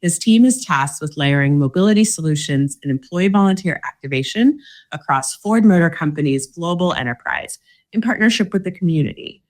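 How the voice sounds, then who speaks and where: A woman's voice: fairly low pitch, lively intonation, a little fast, a little mellow. A woman hosting a podcast interview, chatty, asking long rambling questions. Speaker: podcast host.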